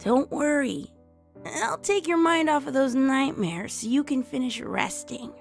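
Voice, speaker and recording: A woman voicing a confident, protective young female pegasus pony in a cartoon fan fiction reading, with a raspy voice and reassuring manner. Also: sits high, expressive, a little slow, voice pushed up, exaggerated voice. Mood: tender. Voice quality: raspy, creaky. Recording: music under the voice.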